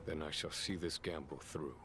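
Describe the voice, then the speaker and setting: A low-voiced, composed general. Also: male voice, fairly even intonation, over music. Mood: calm, official. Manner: measured, formal. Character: composed, formal male general of authority. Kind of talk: dramatic video game voice acting.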